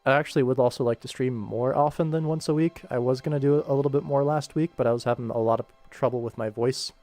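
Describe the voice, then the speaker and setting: A man's voice: medium pitch, fairly even intonation, quick pace, darkish tone, dry recording, soft background music. A man hosting a casual livestream, thinking aloud in a laid-back way as he works. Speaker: animator, livestream host. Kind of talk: casual livestream work commentary.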